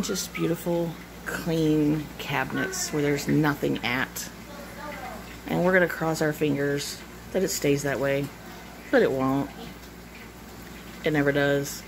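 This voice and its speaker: A wry, tired woman in her forties talks through her day into a phone held at arm's length. Her voice sits low and unhurried. The home recording carries clear background noise. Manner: wry, self-deprecating, tired. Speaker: vlogger. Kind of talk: casual home vlog. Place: small kitchen at home, indoors.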